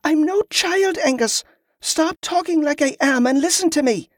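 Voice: high voice, normal speed, no room sound, studio quality, pitched above the performer's own voice, natural voice. A performer voicing a defiant, earnest young farm girl in an audiobook, with fervent rustic phrasing. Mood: indignant.

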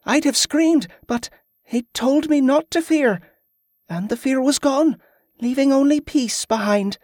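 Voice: sits high, unhurried, a little mellow, dry recording, studio quality, pitched above the performer's own voice. A performer voicing a defiant, earnest young farm girl in an audiobook, with fervent rustic phrasing. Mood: fervent, awed.

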